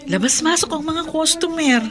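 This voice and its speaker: A woman playing a humble middle-aged mother in a radio drama, speaking gently and earnestly. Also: high-pitched, moderate pace, close to an everyday voice. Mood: friendly, concerned. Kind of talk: Tagalog radio drama acting.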